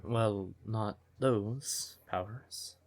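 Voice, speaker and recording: A man playing a devoted, emotional siren boyfriend in an intimate fantasy ASMR audio roleplay, with a breathy, tender voice. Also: on the low side. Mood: tender, intense. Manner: tender, unhurried, emotive, with pet names. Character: devoted male siren nightclub singer, affectionate boyfriend, easily exasperated.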